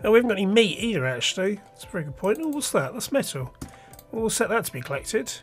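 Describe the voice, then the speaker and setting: A man's voice: high-pitched, big pitch swings, quick pace, faint music behind. A man with a cold and a slightly nasal voice, casually thinking aloud with restarts as he talks through his game. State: stuffy nose from a cold. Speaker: let's play host. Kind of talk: casual gameplay commentary.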